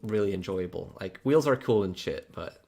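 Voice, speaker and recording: A man's voice: on the low side, expressive, normal speed, creaky, darkish tone. A man with a Polish accent and Polish as his first language, hosting a casual livestream chat, rambling and restarting his words, laughing often, chatty and frank. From Poland. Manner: chatty and frank, mild swearing. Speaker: livestream host.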